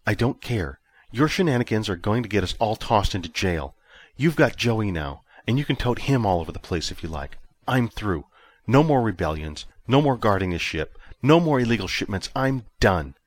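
A man's voice: fairly low pitch, sing-song, moderate pace, no echo. A man voicing a curt, fed-up armored woman. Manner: curt, clipped. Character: stern, fed-up young woman, armored bodyguard.

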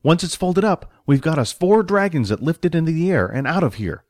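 A man's voice: fairly high pitch, lively intonation, a little fast, no echo, studio quality, voice pushed up. A man voicing a cheerful, proud male general manager in an audiobook, chatty and eager to explain. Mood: proud, excited. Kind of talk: audiobook character voice.

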